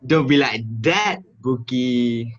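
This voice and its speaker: A man's voice: medium pitch, takes it slowly, close to an everyday voice. A young man playing a rude, hot-headed male student slacker in a student drama. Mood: cheeky. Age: young adult. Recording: dull lo-fi home recording.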